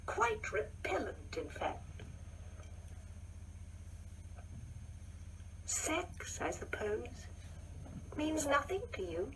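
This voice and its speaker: A woman acting a high-pitched, genteel wife. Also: very animated, fairly bright tone, full character voice. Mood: cutting, disdainful. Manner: theatrical, genteel, halting with dramatic pauses. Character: genteel older married woman, chatty, wistful, coquettish. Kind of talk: radio play monologue acting. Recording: distant mic with faint background noise.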